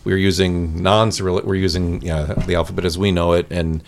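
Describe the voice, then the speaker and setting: A man's voice: low-pitched, level tone, fairly quick, vocal fry, a little hiss or hum. A man from central Iowa, a priest guest on a studio talk show, explaining in an earnest way with frequent restarts. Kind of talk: studio podcast conversation. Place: radio studio.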